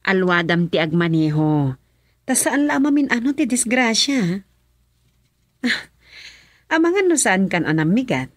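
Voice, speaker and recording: Governor's wife, lively swinging intonation, dry close sound, Filipino accent, cautioning, radio drama. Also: female voice, medium pitch, a little slow, long pauses, dry recording. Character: governor's wife, cautioning her driver, madam of household.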